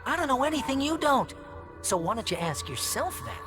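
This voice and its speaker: A brash, wisecracking buddy in a video game. He is slangy and sarcastic, swinging widely in pitch over loud music. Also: big pitch swings, brisk. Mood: teasing. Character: wisecracking imaginary best pal, brash, teasing, needling man. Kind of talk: comic game dialogue.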